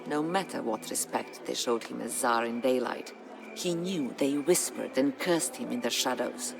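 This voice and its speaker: An actor narrating a historical game campaign as a solemn storyteller, with grave, measured, dramatic delivery. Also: mid-range voice, gravelly, close to an everyday voice. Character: solemn storyteller chronicling a medieval Bulgarian uprising. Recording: music under the voice. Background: background music.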